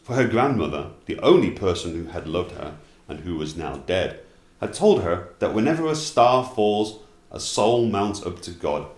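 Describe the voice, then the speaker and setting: A man narrating fairy tales as an expressive storyteller, at times dropping into casual asides. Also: low-pitched, moderate pace, echoey room.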